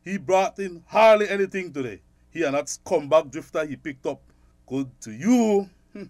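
Actor as scolding middle-aged Belizean Creole shopkeeper dad, high male voice, dry radio drama sound. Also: lively intonation, moderate pace, darkish tone, no echo, natural voice. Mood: angry, hurt.

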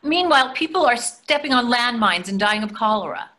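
Animated, gently needling older wife, played by a woman. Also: fairly high pitch, a little fast. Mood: reasoning. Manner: reasoning, dryly chiding. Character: placating, gently needling middle-aged wife. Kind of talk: readers theatre dialogue. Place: plain room indoors on a video call.

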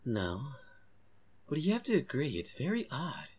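A woman voicing an eager young boy in an earnest, expressive way for a comic fan dub. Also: medium pitch, moderate pace, husky. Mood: casual, friendly. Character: young boy who befriends a forest girl, eager, defiant.

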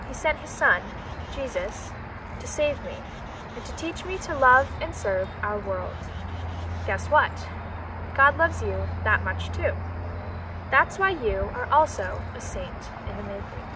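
A young woman reads a children's picture book aloud with a fairly high voice. She is outdoors, some way from the microphone, and clear background noise sits behind her. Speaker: reader. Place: outdoors in autumn, seated by shrubs. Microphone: camera several meters away. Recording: outdoor, distant mic, noisy background.

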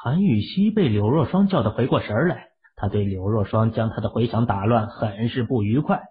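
A man narrates an audio drama with steady storytelling pacing. His voice is low-pitched, husky and breathy. The recording sounds muffled and lo-fi. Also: brisk.

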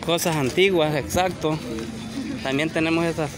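A man's voice: on the high side, fairly even intonation, moderate pace. A man casually vlogging a walk through an outdoor flea market, talking straight to his viewers on a handheld phone camera. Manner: chatty, addresses viewers directly, asks for likes. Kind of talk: casual walk-and-talk vlog. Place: outdoor flea market, sunny gravel lot.

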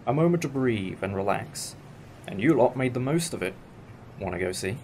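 A man's voice: medium pitch, animated, moderate pace, darkish tone, slight background noise, close to an everyday voice. A British man playing an eccentric, enthusiastic alien time traveller in a fan audio drama, musing like a professor. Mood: musing, upbeat. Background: music.

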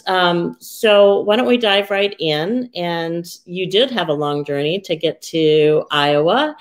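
A middle-aged woman hosting a video call interview from a room at home, speaking with frequent ums. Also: on the low side. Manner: warm welcoming, frequent ums. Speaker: host, executive director.

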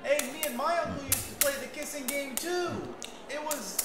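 Woman as high-pitched, flustered schoolgirl announcer. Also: moderate pace, close to an everyday voice. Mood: uneasy. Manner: hesitant, flustered. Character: awkward, uneasy schoolgirl announcer at assembly. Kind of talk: comedic parody anime dub. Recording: echoey, clearly noisy.

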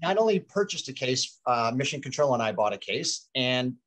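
A man's voice: medium pitch, normal speed, no room sound. A man in his fifties hosting a virtual wine tasting over a video call, talking energetically outdoors by a vineyard. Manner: energetic, gestures with hands while explaining. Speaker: host. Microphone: video call webcam.

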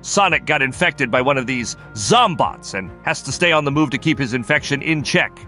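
A man voicing a brash, gruff crocodile detective boss who barks out orders in a comic dub. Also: mid-range voice, expressive, normal speed, pushed and bright, faint music behind. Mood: businesslike.

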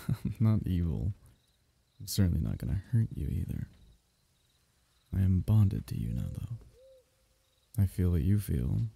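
A man voicing a possessive, soothing alien symbiote in an ASMR roleplay, speaking in a soft, coaxing, intimate way with breathy drops into whisper. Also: low-pitched, sing-song, a little slow, pauses often, heavily acted. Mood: tender, possessive. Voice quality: husky, breathy, often dropping into whisper. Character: possessive, soothing alien symbiote, male, semi-liquid creature.

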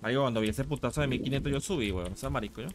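Rapid-fire Venezuelan man streaming a game. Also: medium pitch. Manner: slangy, foul-mouthed, repeats short phrases. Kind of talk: casual gaming chat with friends. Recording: music behind the voice. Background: music.

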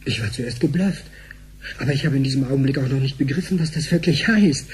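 Husky, cheeky young man. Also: mid-range voice, fast. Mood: rattled. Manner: animated storytelling, slangy with English bits. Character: cheeky, excitable young man, a tech tinkerer. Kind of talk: German sci-fi radio drama dialogue.

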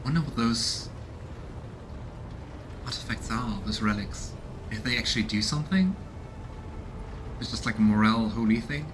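Guy streaming a game, musing and reading stuff out, rumbly noise behind him. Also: normal speed, pauses often. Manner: musing, curious. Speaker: let's play streamer. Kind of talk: casual game commentary and reading aloud. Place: indoors, small room at home.

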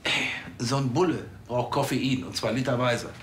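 An actor playing a bossy, excitable male police officer in a TV crime comedy. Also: mid-range voice, moderate pace, close to an everyday voice. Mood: insistent. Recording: clear background noise with clicks.

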